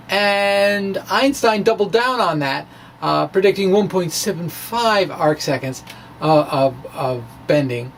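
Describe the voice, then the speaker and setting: A middle-aged physics blogger gives an informal dramatic reading, halting and emphatic, with trailing asides. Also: medium pitch. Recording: background noise, ambient sound.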